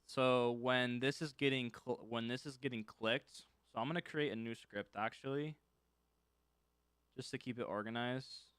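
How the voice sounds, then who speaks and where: A man's voice: mid-range voice, steady tone, normal speed, a bit bright, clean tone, dry recording. A man casually teaching scripting on a live stream, thinking aloud as he works and chatting with viewers. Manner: thinks aloud while working, loose and informal, reads chat and answers.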